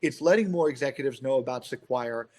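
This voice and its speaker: A middle-aged man speaking earnestly and haltingly as a company founder in a business conference call discussion. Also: medium pitch, a little mellow.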